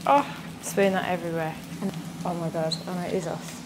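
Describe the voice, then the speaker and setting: Chatty, bubbly woman in her twenties, lowish voice, noisy kitchen; cooking vlog host. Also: fairly even intonation, brisk. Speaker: fitness and cooking creator. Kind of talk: casual cooking demonstration. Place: bright home kitchen indoors.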